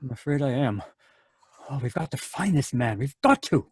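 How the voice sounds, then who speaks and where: A man's voice: medium pitch, expressive, moderate pace, darkish tone, close to an everyday voice. A man playing a worried, earnest psychiatrist in an old-time radio drama. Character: anxious male psychiatrist.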